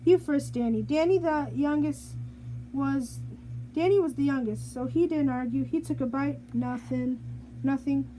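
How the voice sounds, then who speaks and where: Lady reading a kids book out loud, high soft mellow voice, stumbles a bit, some background noise. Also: poor audio. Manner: halting, stumbles and rereads phrases. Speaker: storybook reader. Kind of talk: reading a children's picture book aloud.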